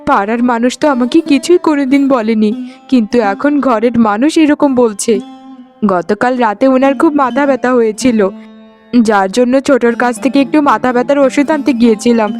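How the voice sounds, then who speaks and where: A woman's voice: a little high, a little fast, darkish tone, natural voice. A woman voicing a meek, wounded young orphaned wife in a cartoon, plaintive and tearful in a melodramatic style. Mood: hurt, tearful. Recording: music behind the voice. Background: music.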